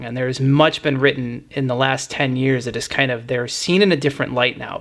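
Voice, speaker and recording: A middle-aged man hosting a music podcast, talking in a conversational, enthusiastic and wordy storytelling way. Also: medium pitch. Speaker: podcast host. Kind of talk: music podcast talk, retrospective commentary.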